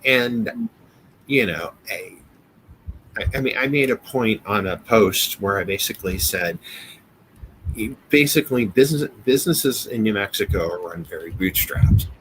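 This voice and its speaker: A man's voice: on the low side, lively intonation, moderate pace, fairly bright tone, slight background noise, low-quality recording. An American man co-hosting a show in casual chat, talking in a hesitant, rambling, conversational way. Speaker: co-host of a business show.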